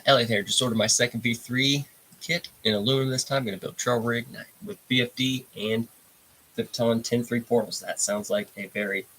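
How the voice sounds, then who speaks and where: A man's voice: mid-range voice, a bit bright, slight background noise, low-quality recording. A congested man hosting a casual live stream, rambling in a laid-back way and greeting viewers from the chat. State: congested, stuffy nose. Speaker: livestream host.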